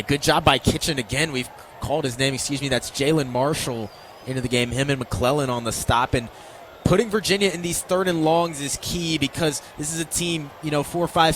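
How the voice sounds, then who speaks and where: A man's voice: medium pitch, fairly bright tone, a little hiss or hum. An American man giving conversational, explanatory color commentary on a live football radio broadcast.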